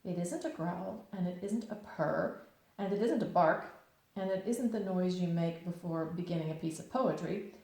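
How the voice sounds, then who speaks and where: A woman voicing a mild, kindly, puzzled male toy bear in a children's storybook, in a gentle, simple way. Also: medium pitch. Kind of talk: children's storybook read-aloud. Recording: echoey room.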